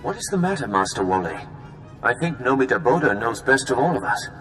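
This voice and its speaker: A man's voice: medium pitch, very animated, brisk, a bit bright. An actor playing a serene, wise male Jedi elder in a video game, speaking solemnly and formally. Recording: long reverb, music behind.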